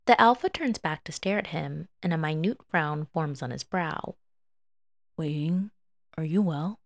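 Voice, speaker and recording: A woman reading fiction aloud as a podfic narrator in an expressive, scripted storytelling style. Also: deep voice, moderate pace, creaky, no room sound.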